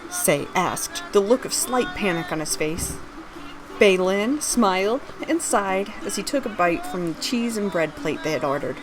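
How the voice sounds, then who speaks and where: A woman's voice: mid-range voice, expressive, a little slow, over music, low-quality recording. A woman reading her own fantasy story aloud, narrating scripted prose and its dialogue.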